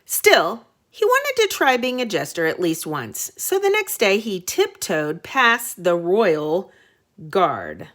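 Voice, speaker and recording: A woman reads aloud to young children as a teacher would. Her pitch is mid-range and very animated, her pace slow, and she mixes dramatic narration with plain asides. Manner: expressive, dramatic.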